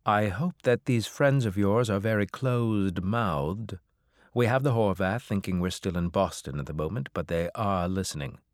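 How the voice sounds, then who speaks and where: A man's voice: fairly low pitch, normal speed, no echo, studio quality, voice pushed up, close to an everyday voice. A man doing a formal alien captain. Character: nervous male alien ship captain, formal.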